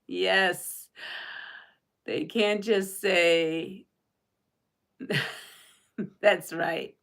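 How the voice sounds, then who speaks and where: A woman's voice: lowish voice, fairly even intonation, drawn-out words, vocal fry, fairly bright tone. A woman from Rico, hosting a live quilting stream. She chats with viewers, reads out comments and quiz questions, and laughs often. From Puerto Rico. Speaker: quilting channel host.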